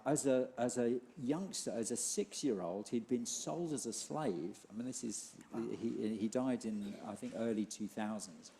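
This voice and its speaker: A middle-aged man leading an interview in church, talking haltingly with many restarts. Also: medium pitch, warm tone. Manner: hesitant, many restarts and fillers. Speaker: church leader hosting an interview. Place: church hall.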